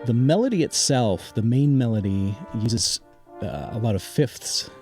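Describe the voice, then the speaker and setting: A man's voice: medium pitch, animated, measured pace. A man explaining his music in an interview as a composer, thoughtful and searching for words. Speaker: interviewed audio drama composer. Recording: loud synthesizer music behind the voice. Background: loud synthesizer music.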